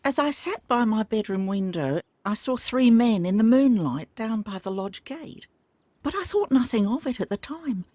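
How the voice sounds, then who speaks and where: A woman's voice: a little high, expressive, moderate pace, warm tone, no echo. A woman voicing a loyal, deferential Victorian household servant, speaking earnestly in a video game cutscene. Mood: earnest, regretful.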